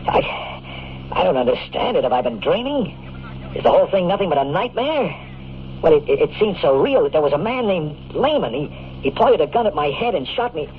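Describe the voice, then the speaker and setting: Through the narrow band and clear hiss of an old radio recording comes an American hero, rather high for a man. He is dazed and disbelieving, stammering emotionally through a 1950s science fiction drama. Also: sing-song, moderate pace. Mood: dazed, shaken. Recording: tinny, noisy old radio broadcast recording.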